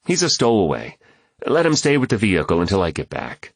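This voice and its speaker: A man reading a plain, firm grown man, deep. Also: huge pitch swings, a little fast, no echo, exaggerated voice. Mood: patient. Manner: plain, direct speech. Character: practical grown man, firm but kind.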